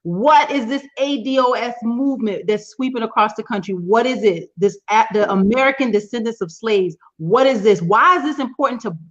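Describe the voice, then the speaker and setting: A woman hosting a casual live broadcast, upbeat and quick to repeat her words. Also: fairly high pitch. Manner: upbeat, repeats phrases, laughs easily. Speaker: host. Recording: muffled lo-fi audio.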